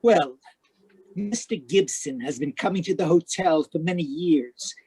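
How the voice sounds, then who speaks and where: A woman's voice: low-pitched, lively intonation, a little slow, warm tone, slightly rough, full character voice, low-quality recording. Woman playing a polite, gossipy radio hotel clerk. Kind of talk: old-time radio drama acting. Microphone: video call.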